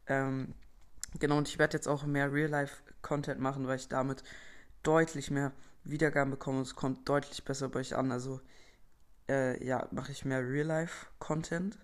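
A man's voice: medium pitch, flat delivery, moderate pace. A young teenage boy from Berlin hosting his own podcast, casually reading out and answering listener questions with lots of fillers and slang. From Berlin, Germany. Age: young teen boy, entering eighth grade. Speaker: podcast host.

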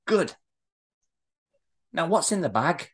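A woman's voice: deep voice, sing-song, slow pace, breathy edge, full character voice. A woman playing a feisty, indignant Scottish woman in acted drama dialogue, with blunt, colloquial delivery. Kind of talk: amateur script read-through, acted dialogue.

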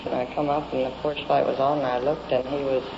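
A woman's voice: a bass voice, flat delivery, quick pace. A woman giving a plain-spoken, rambling account as a witness in a police interview. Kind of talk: recounting events in a police interview. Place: indoors, in a home. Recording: muffled, noisy 1950s field radio recording.

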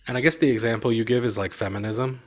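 A man's voice: medium pitch, fairly even intonation, quick pace. Casual, joking man hosting a chat. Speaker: host of a chat show. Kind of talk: casual video chat interview.

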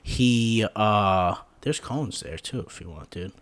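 A man's voice: fairly low pitch, slow. A man talking as a podcast co-host in a casual, unpolished way. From New York, United States. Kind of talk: casual, profane podcast chat.

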